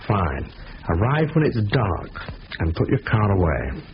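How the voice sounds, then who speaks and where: Low-voiced, businesslike crook. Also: male voice, big pitch swings, measured pace. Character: careful, businesslike crook organising a robbery. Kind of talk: 1970s radio drama acting. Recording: muffled old radio broadcast, faint noise.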